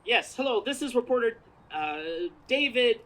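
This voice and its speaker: Woman playing a bumbling reporter, animated and nervous. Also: on the high side, moderate pace, poor audio. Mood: flustered. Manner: hesitant, stumbling, awkwardly filling time. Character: bumbling, nervous male radio news reporter. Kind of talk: comedic radio play stage acting. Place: outdoors in a parking lot performance. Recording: outdoor stage with noise behind.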